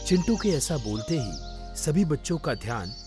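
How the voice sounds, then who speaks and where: A man's voice: a little high, big pitch swings, normal speed, over music. A man narrating a scripted children's story as its storyteller.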